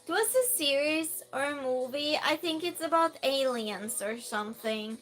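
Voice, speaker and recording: A woman's voice: high-pitched, lively intonation, measured pace, a bit bright, soft background music. A woman with a Norwegian accent, a native Norwegian speaker, chatting casually on a live stream, reading viewer messages aloud with plenty of fillers and restarts.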